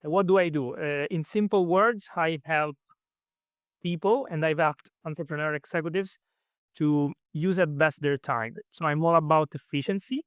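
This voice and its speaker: A man's voice: fairly high pitch, normal speed, clean tone, dry recording, clean studio sound. A man in his thirties from Italy with an Italian accent and Italian as his first language, answering interview questions casually with many fillers and restarts. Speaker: guest.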